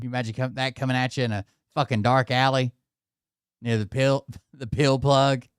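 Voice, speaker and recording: A man's voice: mid-range voice, clean tone, no room sound, clean studio sound. A man from Alberta with an Albertan Canadian accent, giving casual, mocking reaction commentary. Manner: mocking, laughing, chatty. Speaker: commentary streamer.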